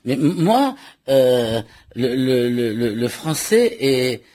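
A man's voice: mid-range voice, big pitch swings, slow, mellow. A man from Morocco, a novelist speaking in a radio interview, who stumbles, repeats words and laughs often. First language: Arabic and French. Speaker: novelist guest.

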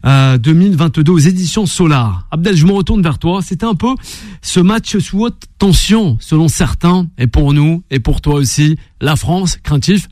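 A man hosting a radio sports call-in show, brisk and quick to cut in and steer callers. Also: a little high, darkish tone, dry recording, clean studio sound.

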